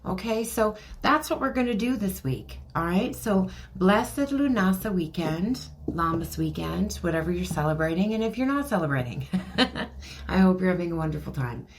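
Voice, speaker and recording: A middle-aged woman hosting a casual spiritual podcast, reading oracle cards, chatty with many ums and laughs, a little hoarse as she is losing her voice. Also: lowish voice, lively intonation, moderate pace, slight background noise. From Canada. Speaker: podcast host and card reader.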